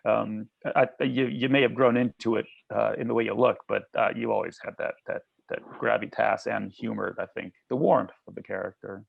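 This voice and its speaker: A man chatting casually and reflectively as a theatre colleague, halting and restarting his phrases as he thinks. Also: on the low side, fairly even intonation, gravelly, slightly rough. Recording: slightly dull lo-fi call audio.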